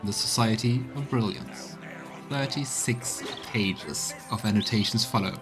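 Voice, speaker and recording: A male game streamer with a mid-pitched voice gives let's play commentary, moving between reading aloud and reacting to the game. Music is clearly audible behind him. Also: unhurried.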